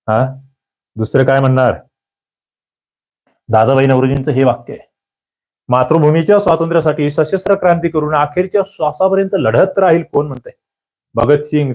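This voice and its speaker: A man teaching an exam prep lecture, quizzing listeners with lively rhetorical questions. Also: medium pitch. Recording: dull lo-fi audio.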